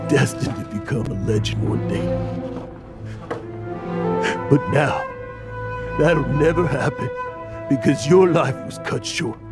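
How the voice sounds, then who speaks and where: An aging, boastful adventurer from a video game who stammers when flustered and turns mournful over a lost partner. He talks slowly, and his pitch rises and falls a lot. Game music plays in the background. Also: animated. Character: aging boastful male adventurer, guilt-ridden.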